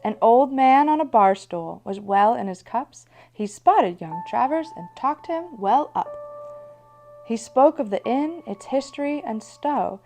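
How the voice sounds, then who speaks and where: A woman's voice: on the high side, animated, measured pace, mellow, faint music behind. A woman host narrating a rhymed ghost story in storybook style.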